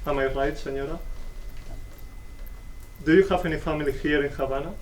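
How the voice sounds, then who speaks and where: A self-assured, patronizing embassy bureaucrat played by a man talks formally in a stage reading. His voice is on the high side, picked up at a distance with faint noise. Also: steady tone, a little fast, close to an everyday voice. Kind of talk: dramatic reading of a stage play.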